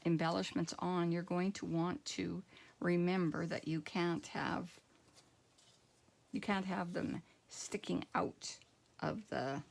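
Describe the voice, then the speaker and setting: A middle-aged woman with a croaky voice, demonstrating card making at home and thinking aloud in a relaxed, rambling way. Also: lowish voice, takes it slowly. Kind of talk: casual craft tutorial.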